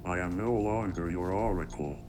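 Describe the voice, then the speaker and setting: Man as a very deep fanatical machine prophet. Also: normal speed, noisy background, natural voice. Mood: zealous, menacing. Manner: grandiose, preaching. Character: fanatical computer oracle turned prophet of an eldritch god. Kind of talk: scripted horror monologue. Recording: music behind the voice.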